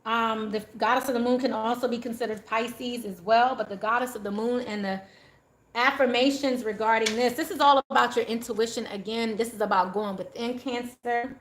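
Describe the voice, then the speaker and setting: A woman's voice: a little high, moderate pace. Chatty woman reading tarot, echoey room. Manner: chatty, laughs often, animated. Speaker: tarot reader. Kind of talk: casual spiritual reading to viewers.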